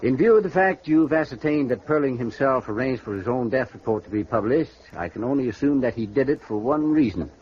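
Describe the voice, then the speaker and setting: Actor as a fussy, formal stockbroker. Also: male voice, medium pitch, expressive, a little fast, few pauses, lightly acted. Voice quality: formal, hesitant. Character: fussy, cautious middle-aged male stockbroker. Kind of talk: 1950s radio drama acting. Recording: dull old radio broadcast.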